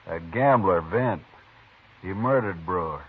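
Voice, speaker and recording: An actor playing an angry, threatening Old West townsman, husky and blunt, in 1950s radio western style. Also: sits low, animated, unhurried, exaggerated voice. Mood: angry, accusing. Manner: blunt, defiant, folksy grammar. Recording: muffled 1950s radio recording.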